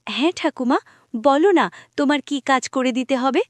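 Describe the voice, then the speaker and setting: A woman's voice: high-pitched, normal speed, dry recording, close to an everyday voice. A woman voicing a sweet, put-upon and dutiful young village girl in a cartoon, earnest and polite. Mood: helpful. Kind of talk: cartoon voice acting.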